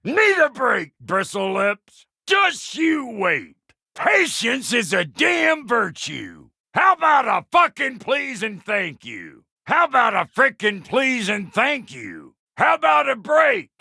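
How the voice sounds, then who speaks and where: A foul-mouthed dwarf blacksmith from a video game, gruff and gravelly with a twang. The voice is forceful and high, swinging widely in pitch. The manner is brash, folksy and combative. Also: male voice, huge pitch swings, slow pace, few pauses, dry recording, studio quality, full character voice. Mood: irritated. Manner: brash, folksy, cussing, barking warnings and taunts. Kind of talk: video game character voice lines.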